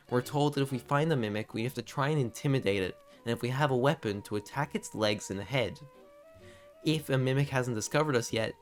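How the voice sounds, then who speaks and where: Guy doing a video essay voiceover, mid pitch, chatty and confiding, music playing behind him. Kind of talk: scripted analysis narration with casual asides.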